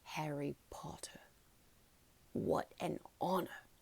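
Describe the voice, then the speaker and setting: A woman's voice: low voice, steady tone, takes it slowly, creaky, darkish tone, slightly rough, dry recording, whispered, full character voice. A woman voicing an emotional, kindly old male bartender in a storybook, speaking with reverence. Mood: awestruck, hushed.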